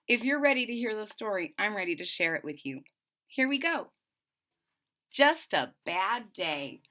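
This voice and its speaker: A woman reading a picture book aloud to children, expressive and chatty, adding friendly asides between the pages. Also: medium pitch, unhurried. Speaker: storybook reader.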